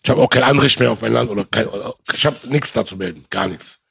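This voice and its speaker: A man's voice: medium pitch, fast talker, gravelly, bright, forceful tone, husky. A man in casual conversation, speaking passionately and often calling the listener brother. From Germany. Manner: impassioned, addresses listener as brother. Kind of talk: casual chat.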